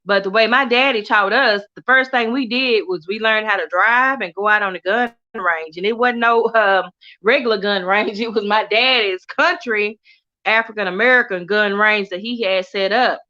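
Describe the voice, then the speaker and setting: A woman's voice: mid-range voice, normal speed, highs cut off. A middle-aged woman from Texas with a Southern accent, chatting casually on a livestream, reading out viewer comments with lots of laughter. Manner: animated, laughs often, chatty and warm toward viewers. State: coughing from allergies. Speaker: livestream host.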